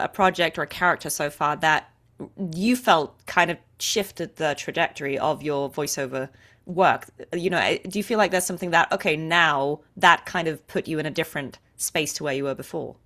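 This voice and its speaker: A woman's voice: sits low, expressive, moderate pace, fairly bright tone. A woman hosting an interview show in a casual, conversational style. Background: faint music.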